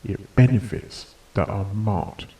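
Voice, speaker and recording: A man playing a shaken, earnest doctor pony, grave and deliberate, in an audio drama. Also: lowish voice, dark tone. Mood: determined. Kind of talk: audio drama fan fiction acting. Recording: distant mic, faint background noise.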